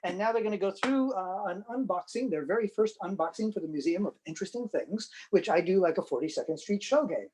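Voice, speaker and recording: A man's voice: high-pitched, animated, fast talker, few pauses, a little mellow, husky. A man hosting a casual unboxing, chatting and explaining in a playful, jokey showman way. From New York. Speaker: host, film collector and presenter. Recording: clicky, faintly noisy live room recording.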